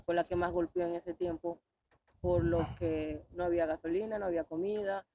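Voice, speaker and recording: A woman's voice: fairly low pitch, expressive, moderate pace, vocal fry, dark tone. A woman from Venezuela with a Venezuelan accent, a guest answering interview questions hesitantly, with many fillers.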